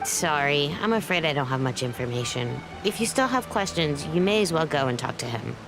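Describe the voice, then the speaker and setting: A woman's voice: deep voice, expressive, fairly quick. A woman voicing a friendly, gossipy fruit and vegetable seller in a video game. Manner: chatty and gossipy. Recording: game audio with music.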